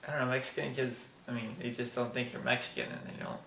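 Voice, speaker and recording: A young man answering interview questions casually, hesitant and relaxed, with fillers. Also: medium pitch, quick pace, gravelly. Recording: echoey room with loud background noise.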